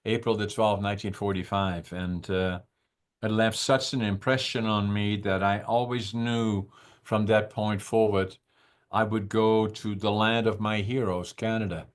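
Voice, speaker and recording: A man in his eighties from the Netherlands, a podcast guest who talks over a video call and recalls his childhood in slow, reflective stories with many pauses. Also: on the low side, little pitch movement. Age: 80s. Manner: unhurried, reflective, with frequent "uh" pauses. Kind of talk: conversational storytelling.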